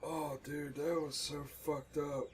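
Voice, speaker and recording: A man's voice: a little high, little pitch movement, slow, a little mellow, a little hiss or hum. A man streaming gameplay with casual, blunt commentary and offhand, sweary reactions.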